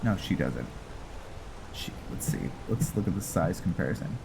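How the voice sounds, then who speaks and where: A man casually commenting on his roleplay playthrough of a game, thinking aloud with hesitant pauses, game music behind him. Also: sits low, sing-song, gravelly, noisy background. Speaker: gamer narrating a roleplay playthrough.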